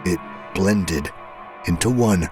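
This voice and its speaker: A haunted, exhausted man , acted by a male narrator, tells his horror diary in a very low voice. He speaks slowly and gravely, irritable and coming unhinged, with music underneath. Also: a little mellow. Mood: distraught. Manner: slow, grave, haunted delivery. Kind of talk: dramatic first-person horror narration.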